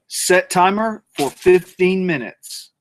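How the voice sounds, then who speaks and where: A man hosts his grilling show outdoors, rambling and cracking jokes between tasks. His voice sits a little high. He chats to the camera while working over the grill. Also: lively intonation, slow pace, slight background noise, rough recording. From North Georgia, USA. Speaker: cooking show host. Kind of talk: casual cooking vlog.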